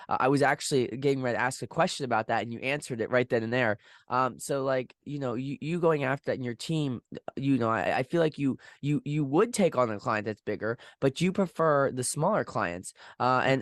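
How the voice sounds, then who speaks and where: A male host chats casually in a mid-pitched voice with a very dry sound. His questions ramble and he often stumbles, repeating words as he finds his way. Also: no echo. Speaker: podcast interviewer, host. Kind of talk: casual interview chat.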